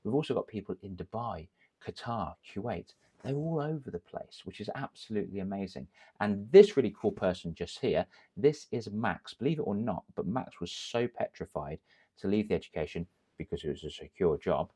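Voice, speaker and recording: Low-voiced upbeat English coach. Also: male voice, moderate pace, breathy edge. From England. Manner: energetic, coaching tone with rhetorical questions. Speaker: confidence coach. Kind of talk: direct-to-camera business advice.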